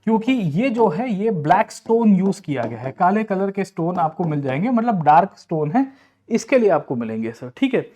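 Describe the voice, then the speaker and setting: An Indian man with a fairly high, soft and mellow voice works through exam questions like a coaching teacher. He explains point by point, talks directly to his students and often checks in with short tags. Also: big pitch swings, moderate pace. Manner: explanatory, addresses listeners as sir and brother, checks in with tag words. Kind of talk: lecture-style exam question analysis, Hindi mixed with English.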